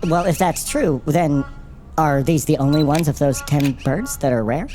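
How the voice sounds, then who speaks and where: A woman playing an argumentative, skeptical museum visitor in naturalistic audio comedy, deadpan and rambling, repeating her words. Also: sits low, normal speed, close to an everyday voice. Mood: pedantic, probing.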